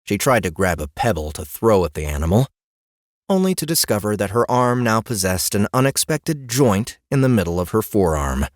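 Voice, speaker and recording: A man's voice: on the low side, very animated, moderate pace, dry recording. A man narrating a fantasy audiobook as a scripted story reading.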